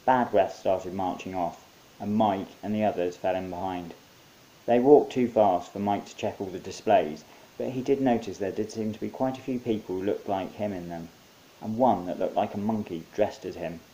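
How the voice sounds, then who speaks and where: Mellow, low-voiced male storyteller. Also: moderate pace, rough recording. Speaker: author reading own short story. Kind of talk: scripted story narration.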